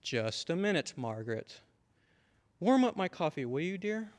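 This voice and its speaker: A stern yet affectionate father, acted by a man, speaking in a measured, slightly pompous way. The voice sits a little high and rings in a reverberant hall, in old-time radio drama style. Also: sing-song, smooth. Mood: indulgent, skeptical. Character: stern but affectionate small-town father.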